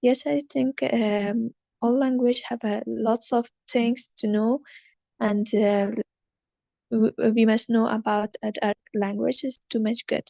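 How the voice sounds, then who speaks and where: A woman from Afghanistan whose first language is Persian, answering questions in an online English class, halting often with fillers. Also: on the high side, level tone, creaky, mellow, no room sound. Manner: hesitant, many fillers, halting learner English. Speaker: student. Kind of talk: online class speaking practice.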